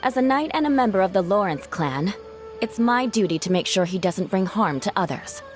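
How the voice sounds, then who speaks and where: A woman's voice: mid-range voice, animated, a little fast, over music, natural voice. A woman playing a proud, cool, dutiful noblewoman knight in a video game, with a formal, haughty manner. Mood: serious. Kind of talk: video game cutscene acting.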